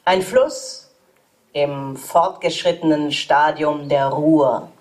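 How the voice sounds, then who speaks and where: A woman reading German translations of poems aloud in a measured, even way. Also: sits low.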